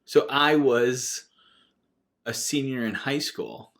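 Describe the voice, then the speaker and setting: A man's voice: mid-range voice, steady tone, takes it slowly, vocal fry, fairly bright tone. A man of 37 chatting casually as a podcast co-host, often restarting his phrases as he talks. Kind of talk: casual unscripted chat.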